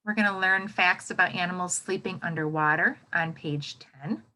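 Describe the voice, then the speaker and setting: A woman teacher giving a read-aloud lesson to young children, expressive and instructive, often checking in with a quick question. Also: lowish voice, rough recording. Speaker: elementary teacher.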